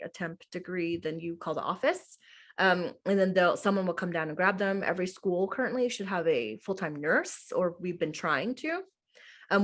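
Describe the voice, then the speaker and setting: A woman's voice: fairly low pitch, fairly bright tone. A young adult woman from the United States, a middle school teacher answering interview questions in a casual, chatty way full of "like" and "um". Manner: chatty, heavy use of "like" and "um".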